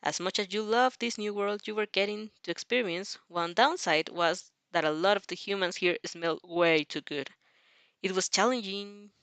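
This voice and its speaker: A woman's voice: mid-range voice, normal speed, fairly bright tone, clean tone, dry recording. A woman reading fan fiction aloud expressively, with casual asides to her listeners. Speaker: reader and fanfic reactor. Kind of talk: expressive story narration with casual reaction asides.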